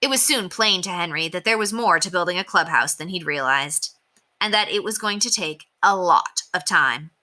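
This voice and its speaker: A woman teacher reading a children's chapter book aloud in an expressive storytelling manner. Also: on the low side, moderate pace. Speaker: elementary teacher.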